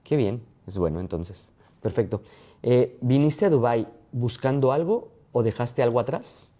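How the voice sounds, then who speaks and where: Mellow-voiced male podcast host. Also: medium pitch, lively intonation. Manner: quick rapid-fire questions. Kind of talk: interview.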